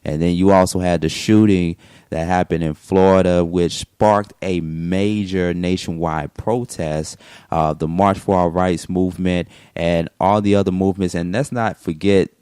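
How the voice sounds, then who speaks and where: Low-voiced male radio host. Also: fairly even intonation, a little slow, mellow, clean tone, no echo, studio quality. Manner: rambling, long winding questions with restarts. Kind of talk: morning radio interview.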